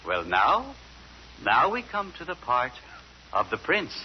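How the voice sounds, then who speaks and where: A man's voice: medium pitch, huge pitch swings, measured pace, slight background noise. A man on a 1940s radio comedy, telling a fairy tale earnestly as the straight man. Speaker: comedy host. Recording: dull lo-fi 1940s radio broadcast.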